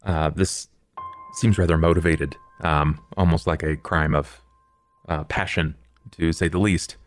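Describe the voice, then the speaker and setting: A man playing a formal, erudite vampire investigator in a tabletop game, speaking in measured, halting, ornate phrases. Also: rumbling low, steady tone, soft background music. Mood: grave, analytical. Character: Duke, formal, erudite male vampire investigator, coolly analytical. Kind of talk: tabletop roleplay in character.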